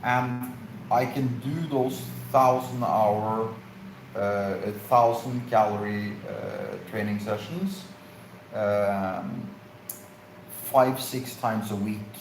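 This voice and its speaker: A man's voice: lowish voice, steady tone, creaky. Man talking casually in an echoey room. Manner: halting, searching for words with fillers. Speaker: live stream co-host. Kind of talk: casual Q&A chat. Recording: echoey room, faint noise.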